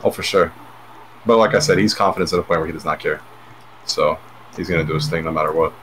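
A man's voice: very deep voice, quick pace, a bit bright, a little hiss or hum. A man giving analysis on an online game broadcast, explaining his reasoning at length. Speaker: analyst commentator. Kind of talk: sports analysis.

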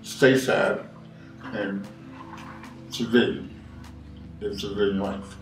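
A man's voice: fairly low pitch, steady tone, slow pace, creaky, recorded from a distance, faint music behind. Older man, roomy and off-mic. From Washington, D.C. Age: 60s. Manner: plain-spoken, repeats his points. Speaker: interviewee. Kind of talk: documentary interview. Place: living room on a leather couch.

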